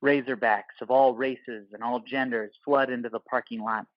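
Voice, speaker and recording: Narrator for audio play: male, mid-pitched, terse and clipped, scripted present-tense delivery, very dry room. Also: steady tone, moderate pace, no room sound, highs cut off.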